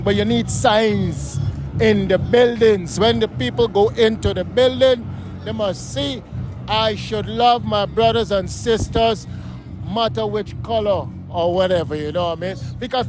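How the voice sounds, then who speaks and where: A middle-aged Guyanese man being interviewed on a city street, talking emphatically and often asking "you know what I mean?". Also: sits high. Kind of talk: street interview, casual talk.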